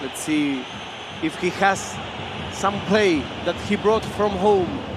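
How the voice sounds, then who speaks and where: A man's voice: high voice, takes it slowly, heavy background noise. An Argentine-accented man, a native Spanish speaker, giving live esports football commentary and repeating short phrases for emphasis at a venue. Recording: live venue with loud background ambience.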